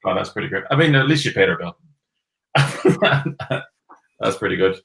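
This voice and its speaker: Low-voiced man in an echoey room. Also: animated, normal speed, gravelly, fairly bright tone. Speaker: guest, whiskey brand ambassador. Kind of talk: casual live-stream chat.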